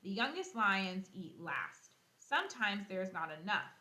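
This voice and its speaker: Female elementary teacher for kids' read-aloud: animated pitch, explanatory asides, roomy echoing recording. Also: unhurried. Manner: expressive, explanatory, thinks aloud for young pupils.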